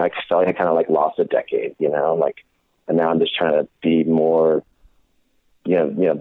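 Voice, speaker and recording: A young adult man in casual conversation as a guest, talking haltingly with many fillers and restarts. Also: rumbling low, no echo. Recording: tinny phone line.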